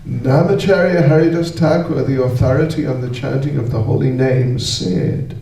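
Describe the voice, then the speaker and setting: A man giving a religious lecture in a language he speaks haltingly, pausing to search for words and slipping into English. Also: mid-range voice, fairly even intonation, mellow. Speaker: visiting spiritual lecturer. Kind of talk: halting religious lecture in non-native Russian. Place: lecture hall with audience. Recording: echoey room, mic far off.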